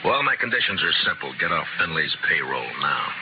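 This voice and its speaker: A man's voice: low voice, sing-song, a little fast. An American man playing a tough, dry frontier marshal in an old-time radio western, speaking tersely and with authority. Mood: dry, stern. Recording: muffled, very noisy old radio recording.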